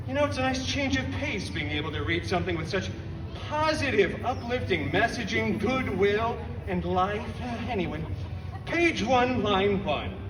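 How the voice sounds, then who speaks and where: Sarcastic masked merc, high bouncy voice, echoey outdoor stage from far off, music going. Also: male voice, background noise. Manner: sarcastic, animated, fourth-wall jokes. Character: wisecracking masked male mercenary storyteller. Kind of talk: live theme-park comedy show. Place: outdoor theme-park stage.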